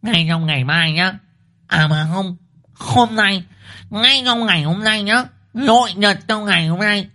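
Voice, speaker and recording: A man's voice: high voice, unhurried, bright, forceful tone, pitched above the performer's own voice. A man voicing a fierce, bossy wife who hectors and repeats threatening demands. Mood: furious, commanding.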